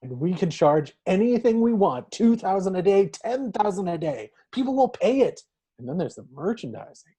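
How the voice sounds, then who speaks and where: An actor as a husky, giddy, greedy lawyer. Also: mid-range voice, sing-song, normal speed, warm tone. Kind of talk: table read of a film script.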